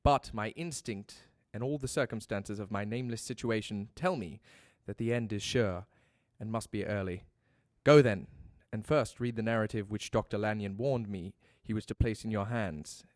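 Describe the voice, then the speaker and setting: A man's voice: lowish voice, moderate pace, darkish tone, close to an everyday voice. A young man playing a desperate, doomed Victorian doctor in an audio drama, speaking his letters in a grave, pleading, formal way. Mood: resigned, doomed. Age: young adult.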